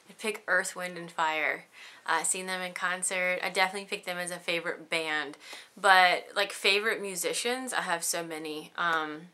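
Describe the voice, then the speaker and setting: Chatty bright-voiced woman in her thirties. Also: on the low side. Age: 30s. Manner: chatty, giggly, rambling with many ums. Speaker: beauty vlogger. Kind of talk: casual chat answering tag questions.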